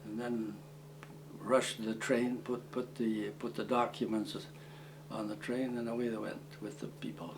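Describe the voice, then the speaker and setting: A man in his eighties, originally from Croatia, recalling his past in an oral history interview, speaking haltingly with many fillers and restarts. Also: medium pitch, little pitch movement, noisy background. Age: 80s. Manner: plain, matter-of-fact storytelling. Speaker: war veteran interviewee.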